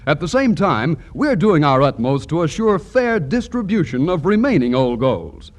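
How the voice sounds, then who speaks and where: Animated American male radio announcer. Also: on the high side, normal speed. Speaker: commercial announcer. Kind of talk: 1940s radio advertising pitch.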